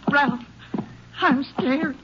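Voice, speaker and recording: An actress playing a frightened, insistent farm wife, anxious and pleading, in a 1950s radio drama. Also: female voice, high-pitched, drawn-out words. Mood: fearful. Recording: muffled, noisy old radio recording.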